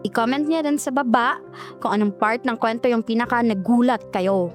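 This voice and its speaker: A woman's voice: a little high, normal speed, soft background music. A woman co-hosting a horror story channel who delivers a friendly, upbeat scripted outro.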